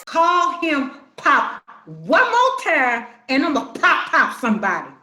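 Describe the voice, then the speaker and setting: Actress as a combative, high-voiced sister, swooping pitch. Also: female voice, huge pitch swings, slow pace, pushed and bright, exaggerated voice. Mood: angry, threatening. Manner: confrontational, slangy, threatening. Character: bullying, hot-tempered grown elder sister Brenda, ready to fight. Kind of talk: online dramatic play reading. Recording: roomy echo, faint music.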